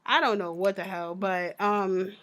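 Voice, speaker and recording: A woman co-hosting a podcast in casual chat, her voice raspy from a sore throat, talking in a frank, laid-back way. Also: mid-range voice, a little slow, background noise. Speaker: podcast co-host.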